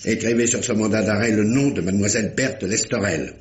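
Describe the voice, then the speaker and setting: A man playing a stern examining magistrate in an old-style French radio mystery, formal, probing and deliberate. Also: on the low side, lightly acted. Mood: grave, firm. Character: stern examining magistrate, paternal uncle, insistent and measured.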